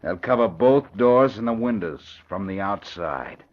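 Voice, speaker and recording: Low-voiced smug gangster boss. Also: male voice, animated, a little slow. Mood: smug, gloating. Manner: brusque tough-guy slang, gloating. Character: smug, bullying male nightclub gangster boss. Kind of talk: 1940s radio acting. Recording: dull old radio recording.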